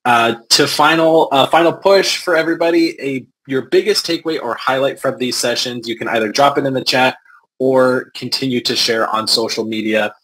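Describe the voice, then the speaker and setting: A man's voice: mid-range voice, normal speed, fairly bright tone, husky, rough recording. A man hosting an online event, wrapping up with effusive thanks and announcements over a video call. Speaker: event host. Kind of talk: wrap-up announcements.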